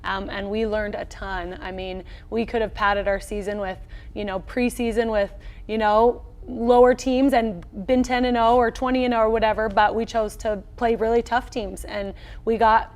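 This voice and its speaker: A woman's voice: medium pitch, steady tone, normal speed. An American woman in her thirties, a coach answering casually in an interview. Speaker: college volleyball head coach. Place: indoor locker-room style studio set.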